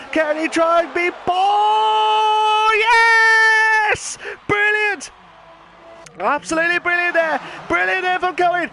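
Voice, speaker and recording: A man hosting and commentating an online racing league, his voice hoarse and nearly gone, talking in an excitable, chatty way. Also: very high voice, heavy background noise. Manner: excitable, shouting during qualifying, then calm chatty standings rundown. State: hoarse, lost voice. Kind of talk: live esports race commentary.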